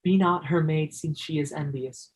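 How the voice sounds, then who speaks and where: A man's voice: on the high side, normal speed, close to an everyday voice. Man acting a dreamy, reluctant teenage boy. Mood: dreamy, reciting. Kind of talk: stage play acting.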